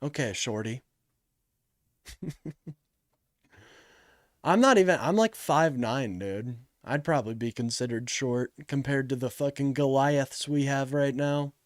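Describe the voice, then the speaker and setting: A man's voice: medium pitch, measured pace, long pauses, no room sound, clean studio sound. A man hosting a live political call-in stream in a casual, joking way, with slang and swearing as he talks to his chat.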